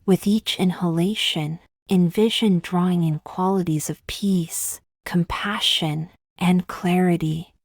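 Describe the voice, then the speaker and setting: A woman reading a scripted guided meditation in slow, gentle, measured phrases with long pauses. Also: lowish voice, fairly even intonation, no echo, studio quality.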